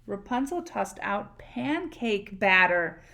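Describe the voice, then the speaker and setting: A woman reading a picture book aloud expressively, pausing to explain words and ask questions. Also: medium pitch, big pitch swings, vocal fry, sharp tone. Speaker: read-aloud storyteller, teacher.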